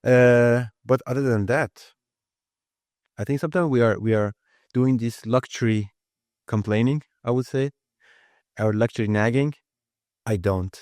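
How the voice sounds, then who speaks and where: A man's voice: medium pitch, unhurried, pauses often, darkish tone, no room sound, studio quality. A man in his thirties whose first language is Persian, answering interview questions in a casual, earnest way and often restarting his phrases. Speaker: guest, IT lead.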